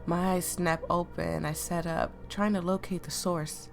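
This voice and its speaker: A woman with a deep voice that sounds sick, chatting casually and reading game text aloud as a let's play host, easily amused and stumbling over words. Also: fairly even intonation, unhurried, vocal fry, soft background music. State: sick, voice affected.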